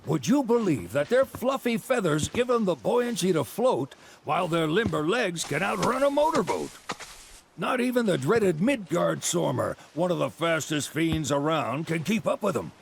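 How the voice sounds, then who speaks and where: Game role: chipper elderly ranch grandpa, Southern US drawl, creaky high-set voice, showy pitchman. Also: very animated, moderate pace, exaggerated voice. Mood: showy sales pitch. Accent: American Southern. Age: older. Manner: folksy, showy salesman patter. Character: folksy, chipper old male chocobo rancher and grandfather. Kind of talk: video game cutscene acting.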